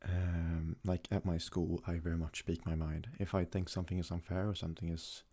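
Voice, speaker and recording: A young man from Sweden, a native Swedish speaker, talks casually in a soft-spoken, hesitant ASMR style as he answers questions. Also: sits low, little pitch movement, a little fast. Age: young adult. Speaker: ASMR creator.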